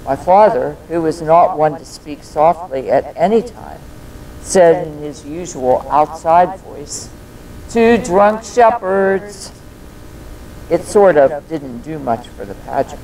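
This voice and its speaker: Mellow older storyteller over faint rumble. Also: expressive, slow pace, rough recording. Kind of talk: scripted devotional reflection and personal anecdote.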